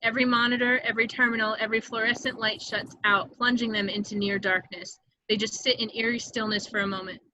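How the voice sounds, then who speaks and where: Bright-voiced woman narrating screenplay directions. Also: fairly high pitch, flat delivery, fast talker, hardly any pauses, a little hiss or hum, low-quality recording.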